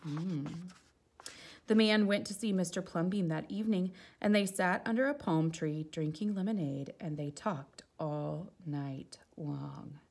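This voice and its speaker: Low-pitched woman reading a storybook aloud. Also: unhurried, gravelly, slight background noise. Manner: expressive, exclaiming softly at the pictures. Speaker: teacher reading a picture book aloud. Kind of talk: animated children's storytime read-aloud with casual asides.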